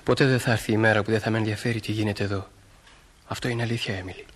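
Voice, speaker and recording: Earnest, slightly husky teenage lad. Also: male voice, fairly low pitch, fairly even intonation. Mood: sincere, warm. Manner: sincere, impulsive, insistent. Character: earnest, eager, boyish small-town teenage farm lad. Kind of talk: stage drama acting for broadcast.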